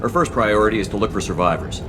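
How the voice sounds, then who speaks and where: Man playing resolute military commander, low voice, brisk delivery, measured, authoritative, video game dialogue. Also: noisy background, close to an everyday voice. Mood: businesslike.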